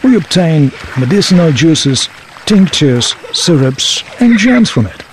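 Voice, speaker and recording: A man's voice: a little high, very animated, unhurried, slight background noise, low-quality recording. A man playing a knowledgeable, obliging local tour guide in a scripted audio drama, speaking in a formal, presentational way. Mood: informative, obliging.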